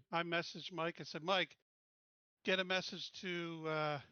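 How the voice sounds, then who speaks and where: A man's voice: on the high side, fairly even intonation, takes it slowly, sharp tone, clean tone, dry recording, highs cut off. A man playing a tabletop roleplaying game, casually talking through his plans and instructions. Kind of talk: casual in-game planning talk.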